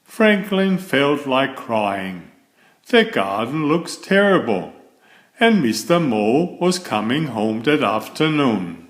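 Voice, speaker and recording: A man reading a children's picture book aloud in a deliberate, expressive storytelling style. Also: mid-range voice. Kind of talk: read-aloud storybook narration.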